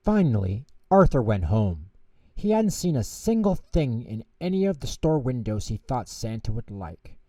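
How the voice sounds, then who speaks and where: A man reading a children's bedtime story in an expressive, theatrical narration style, recorded in a roomy space from a distance. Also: medium pitch. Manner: measured, theatrical delivery with character voices. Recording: roomy echo, distant microphone.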